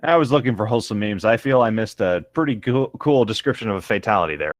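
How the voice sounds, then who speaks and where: A man's voice: fairly low pitch, lively intonation, fast, no room sound, clean studio sound. A man co-hosting casual comedic banter, exclamatory and repeating himself for emphasis. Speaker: co-host reacting to a reading.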